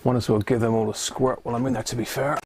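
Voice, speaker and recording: A man's voice: medium pitch, level tone, fast, noisy background. A man giving casual, unscripted live commentary to himself as he works on a hobby repair stream. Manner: unscripted, muttering to himself while working.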